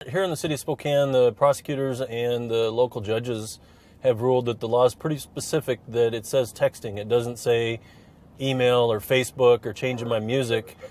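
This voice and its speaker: A man speaks in a flat, even voice with little rise or fall, setting out how local rules are applied. He is a police officer talking to camera from inside a vehicle. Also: medium pitch, moderate pace. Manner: even, explanatory. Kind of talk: interview to camera. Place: in a parked vehicle.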